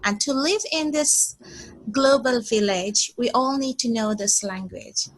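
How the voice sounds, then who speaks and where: A woman in her twenties from Bangladesh, teaching an English lesson slowly and deliberately, often repeating herself and saying "okay". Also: a little high, lively intonation, poor audio. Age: 20s.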